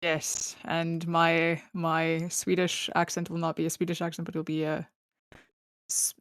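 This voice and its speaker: A woman's voice: deep voice, monotone, a little slow, a bit bright, clear, resonant tone, no room sound. A young woman hosting a true crime podcast, telling the case in a chatty, laughing way with lots of fillers, on a close mic. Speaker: podcast host. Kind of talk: casual true crime storytelling.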